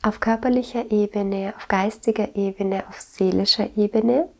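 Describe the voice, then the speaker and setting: A woman hosting a reflective wellness podcast, congested with a cold, speaking gently and earnestly straight to the listener. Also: mid-range voice, fairly even intonation, moderate pace, vocal fry. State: congested with a cold, stuffy nose. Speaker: podcast host.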